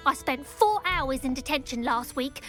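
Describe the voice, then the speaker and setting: A woman's voice: high voice, lively intonation, normal speed, soft background music. A woman playing a brazen, scornful teenage schoolgirl troublemaker in a video game, with a British accent and a wry, mocking manner. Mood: defiant, scornful. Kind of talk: video game character acting.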